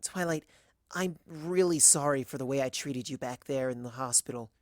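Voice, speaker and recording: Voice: mid-range voice, moderate pace, no room sound, studio quality, lightly acted. A reader voicing a timid, earnest young female pony who speaks in a hesitant, pleading way, in a dramatic fan fiction reading. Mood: apologetic.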